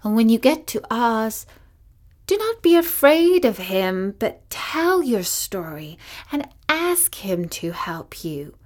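A woman voicing a kindly little old witch woman who speaks gently and sometimes in a whisper. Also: a little high, very animated, takes it slowly.

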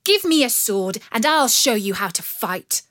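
A woman voicing a fiery, high-spirited young noblewoman who speaks defiantly and forcefully. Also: animated, a little slow, no echo, studio quality.

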